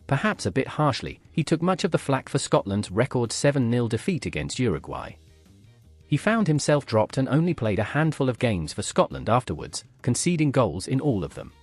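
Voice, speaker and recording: A man narrating a scripted football history piece in a measured way, letting each sentence fall at its end. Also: medium pitch, a little mellow, breathy edge.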